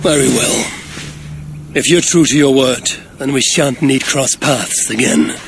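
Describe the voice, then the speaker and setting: A man's voice: medium pitch, measured pace, gravelly, slight background noise. A man playing an imperious Norse god, lord of Asgard, in a video game, curt and demanding with formal archaic phrasing. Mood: commanding, threatening. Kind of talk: cinematic video game acting.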